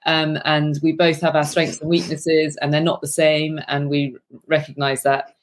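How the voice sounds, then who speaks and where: A woman co-founder of a small business answering interview questions in a warm way and laughing easily. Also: sits low, little pitch movement, moderate pace.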